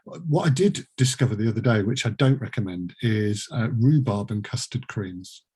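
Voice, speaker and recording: A man's voice: fairly low pitch, moderate pace, a little mellow, breathy edge. Middle-aged man, dry and joking. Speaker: podcast producer and host. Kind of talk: casual podcast chat.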